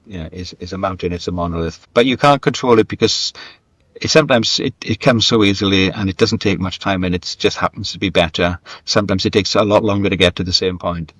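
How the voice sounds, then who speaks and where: Deep voiced guy, writer in an interview, thoughtful and halting, repeats himself, dry sound. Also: a bit bright, dry recording. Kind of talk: conversational interview answers.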